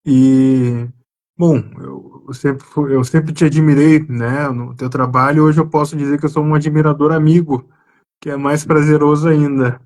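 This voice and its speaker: Earnest gaúcho psychiatrist, slightly high voice. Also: male voice, little pitch movement, a little slow, a little mellow. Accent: Brazilian gaúcho Portuguese. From southern Brazil. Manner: earnest, explanatory, with hesitant restarts. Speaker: psychiatrist guest. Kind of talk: conversational explanation on a live stream.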